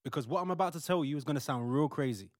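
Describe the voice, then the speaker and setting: A man's voice: on the high side, racing, no room sound, studio quality, natural voice. A man playing a devout young husband-to-be in a modern audio drama, earnest and colloquial. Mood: earnest persuasion. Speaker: actor in audio play.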